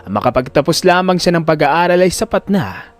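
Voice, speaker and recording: Madamdaming lalaking tagapagsalaysay, pabago-bago ang tono. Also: male voice, fairly high pitch, animated, fairly quick, soft background music. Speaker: narrator. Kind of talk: dramatic scripted story narration.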